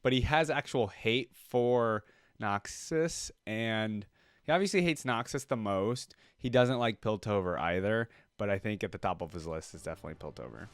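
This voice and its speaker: A man's voice: on the low side, expressive, normal speed. A young man hosting a reaction video, commenting casually with informal, slangy, impressed asides.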